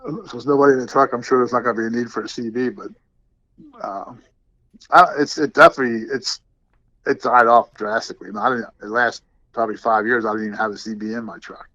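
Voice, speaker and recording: A man's voice: steady tone, quick pace, bright, forceful tone. An older man, a veteran trucker, chatting casually as a guest in a plain-spoken way. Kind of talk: casual chat, reminiscing. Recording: dull, muffled low-fidelity audio.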